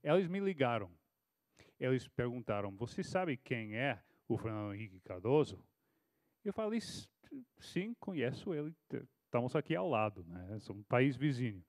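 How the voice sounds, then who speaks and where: A man's voice: medium pitch, very animated, moderate pace, a little mellow, no room sound. Texan guy in his 30s, american accent, stumbles and restarts a lot, dry sound. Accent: American English. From Texas, United States. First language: English. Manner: hesitant, restarts often, storytelling. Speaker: panel speaker, foreign correspondent. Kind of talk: panel talk answering a question. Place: auditorium at a university panel.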